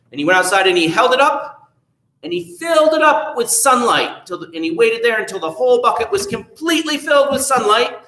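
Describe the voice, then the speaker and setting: A man telling a children's story at a library storytime, animated and playful, chatting with his young listeners. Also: a little high, reverberant, poor audio.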